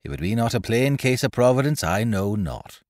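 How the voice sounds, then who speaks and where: A man's voice: mid-range voice, big pitch swings, moderate pace, darkish tone, dry recording, clean studio sound, voice pushed up, natural voice. Guy doing a slimy pious gunner, preachy, oily, old sailor talk. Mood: oily piety. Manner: oily, sanctimonious. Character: oily, pious, scoundrelly male ship's gunner. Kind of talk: audiobook character voice, old seafaring dialect.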